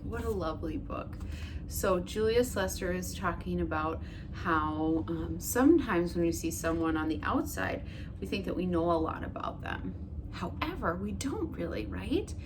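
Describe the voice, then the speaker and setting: A woman teacher reads a picture book aloud to children in a gentle, expressive storytelling way, asking questions of her listeners, then talks it over with them. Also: lowish voice, normal speed. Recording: noticeable background noise.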